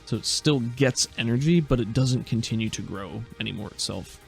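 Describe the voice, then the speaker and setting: A man's voice: mid-range voice, normal speed, vocal fry, faint music behind. A man narrating a tabletop game as game master in a conversational, descriptive, improvised way.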